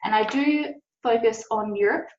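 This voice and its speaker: A woman's voice: fairly high pitch, normal speed, echoey room, lo-fi sound. A woman with an Australian accent, a policy officer giving a webinar presentation and explaining things with frequent "um"s.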